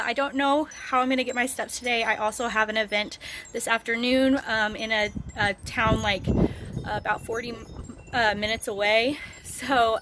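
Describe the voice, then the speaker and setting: A woman vlogging her day in a casual, rambling way, full of ums, her voice hoarse. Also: on the high side, pushed and bright, slight background noise. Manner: chatty, rambling with many ums. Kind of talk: casual daily vlog to camera. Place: outdoors on a rural road, wind.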